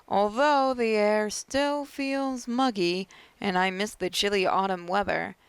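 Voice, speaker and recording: A woman's voice: medium pitch, gravelly, smooth, no echo. A woman reading a visual novel aloud as narrator, now and then stumbling and rereading a phrase.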